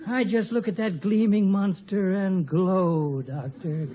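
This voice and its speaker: A man's voice: high-pitched, fairly even intonation, slow, background noise. An actor playing a blunt, folksy, cheerful professor in his seventies in a 1950 radio comedy. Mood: cheerful, frank. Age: 70s. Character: seventy-year-old outspoken, cheerful, tobacco-chewing male professor. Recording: muffled old radio recording.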